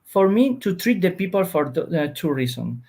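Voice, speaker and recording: A man's voice: high voice, very animated, normal speed, a little mellow. A man with a Spanish accent explains research as an interview guest, searching for words in non-native English.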